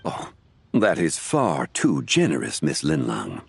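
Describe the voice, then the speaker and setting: Learned game gentleman, very low voice, expressive inflection, calm, formal, courteous, understated. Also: slow, vocal fry, a little hiss or hum, heavily acted. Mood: composed, modest. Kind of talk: video game voice acting.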